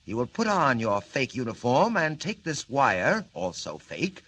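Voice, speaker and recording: An actor playing a sly, pompous criminal mastermind in a 1940s radio serial, with formal, mocking and menacing phrasing. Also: male voice, medium pitch, wildly swooping pitch, a little slow, fairly bright tone. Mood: gloating, scheming. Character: sly, pompous villain mastermind called the Wolf. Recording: noisy old radio recording.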